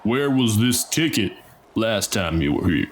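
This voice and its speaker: A man's voice: on the low side, big pitch swings, unhurried, a little hiss or hum. A man voicing a stern, suspicious bodyguard in a tabletop game, terse and questioning.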